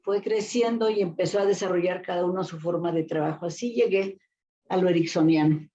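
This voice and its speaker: An older Mexican woman recalls her career as an interview guest, with a lowish, dark, mellow voice. She rambles and restarts often. The sound is dull and lo-fi. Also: a little fast. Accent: Mexican Spanish. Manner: rambling recollection with many fillers and restarts. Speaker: guest therapist. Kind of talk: casual interview storytelling.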